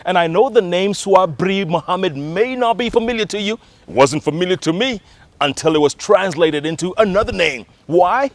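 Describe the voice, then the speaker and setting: Kenyan male TV host, animated and emphatic, slightly high voice, dry sound. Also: moderate pace, no echo. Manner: showy, emphatic presenter. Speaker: TV talk show host. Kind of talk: broadcast interview hosting.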